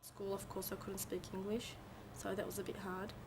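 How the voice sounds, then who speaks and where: A woman's voice: mid-range voice, completely flat delivery, normal speed, noisy background. A young woman recounting her school days in plain, fluent, matter-of-fact English. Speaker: interviewee. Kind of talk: casual recollection.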